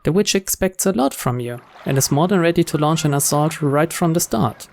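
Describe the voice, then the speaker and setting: A man's voice: on the high side, expressive, fairly quick, gravelly, a little hiss or hum. A man hosting a let's play, reading a visual novel's narration aloud. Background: game music.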